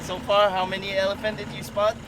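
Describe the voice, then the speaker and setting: A man's voice: high voice, little pitch movement, fast talker, background noise. Playful teasing man on safari. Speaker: travel vlogger filming. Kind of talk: casual travel vlog chat. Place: outdoors in a safari jeep.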